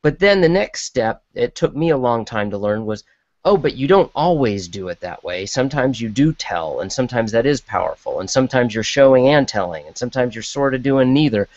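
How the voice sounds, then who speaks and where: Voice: medium pitch, expressive, brisk, a little mellow, no echo, dull audio. A podcast panelist thinking aloud thoughtfully in a casual discussion.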